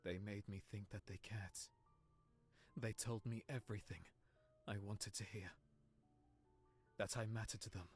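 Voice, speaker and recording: A man playing a guarded, heartbroken young nobleman in a visual novel, speaking in an earnest, measured way. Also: on the low side, fairly even intonation, soft background music. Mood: bitter, hurt. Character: heartbroken young nobleman, guarded, wounded, confiding in his servant. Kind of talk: visual novel character acting.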